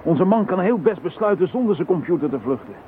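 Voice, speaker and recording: Dry, impatient senior detective; lo-fi tinny recording. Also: male voice, high-pitched, animated, fast, a little hiss or hum. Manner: blunt, dry. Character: gruff impatient male chief inspector. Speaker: chief inspector. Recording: tinny lo-fi old radio recording.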